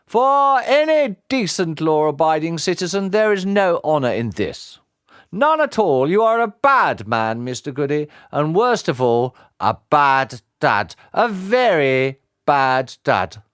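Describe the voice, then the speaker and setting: A man plays an unsmiling old judge in a storybook, raised and slow, leaning hard on every word. His pitch rises and falls dramatically, and the recording is dry and close. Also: sing-song, fairly bright tone, smooth, dry recording, full character voice. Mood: stern. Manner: slow, pompous, reproachful.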